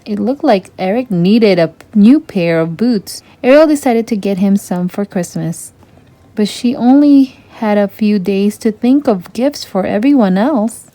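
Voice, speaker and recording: A woman reads a children's story aloud as its narrator. Her tone is mellow and warm, at a middle pitch. The sound is dry, with very little room in it. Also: lively intonation, unhurried, dry recording.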